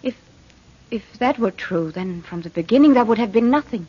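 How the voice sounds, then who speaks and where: A woman's voice: a little high, animated, normal speed, mellow, husky. An actress playing a fragile, frightened wife who doubts her sanity, in a hesitant, pleading 1940s radio drama style. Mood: distressed. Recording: muffled, noisy old radio recording.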